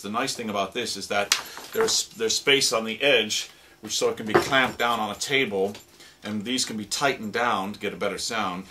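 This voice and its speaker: A man who produces radio drama, demonstrating sound effect gadgets in a relaxed, explanatory way with plenty of fillers. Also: fairly low pitch, background noise.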